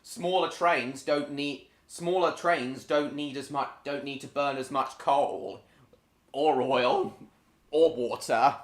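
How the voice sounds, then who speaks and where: Streamer guy rambling to chat, middle pitch voice, echoey room. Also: slow. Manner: chatty, rambling, talks to viewers. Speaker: live stream host. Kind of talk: casual chat while reacting to videos.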